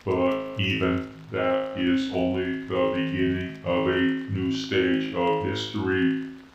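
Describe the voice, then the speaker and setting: Calm machine soldier for a sci-fi tale; low-pitched, monotone, muffled under loud music. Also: male voice. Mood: solemn, measured. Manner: slow, measured, monotone pronouncements. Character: calm, solemn, all-knowing male robot soldier. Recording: dull, echoing, with loud music.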